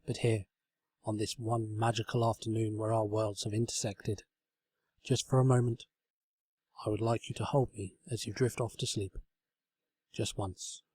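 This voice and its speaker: A man voicing a gentle, shy talking bedside table from a comic storybook, earnest, courtly and wistful in a formal way. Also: on the low side, flat delivery, moderate pace, long pauses, slight background noise, natural voice. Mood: tender, wistful. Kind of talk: comedic storybook reading.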